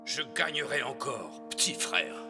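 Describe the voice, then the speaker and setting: A cartoon tyrant king, proud and scornful. His theatrical delivery swings widely in pitch, set at a middling male register over prominent music. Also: big pitch swings, measured pace. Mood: defiant, angry. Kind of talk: animated film dubbing.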